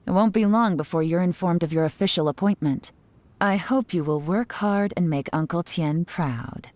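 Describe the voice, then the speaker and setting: A woman's voice: fairly low pitch, moderate pace, a little mellow, no echo, lightly acted. A woman playing a cunning, composed intelligence chief in a video game, speaking with poised assurance. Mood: composed, official.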